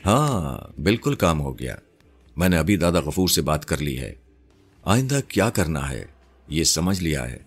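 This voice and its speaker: A man's voice: a bass voice, sing-song, husky, heavily acted. A man voicing a cheerful, weary uncle in an audio story, with an easygoing, familiar manner. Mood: tired, reassuring.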